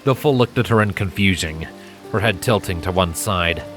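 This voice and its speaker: A man's voice: low voice, moderate pace. A man reading fan fiction as a dramatic, scripted storybook narration. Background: music and rain effects.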